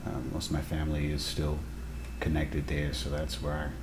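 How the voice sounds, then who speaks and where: A man from Savannah, Georgia, answering interview questions in a reflective, unhurried way. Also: very deep voice, completely flat delivery, noisy background. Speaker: interviewee, cyclist.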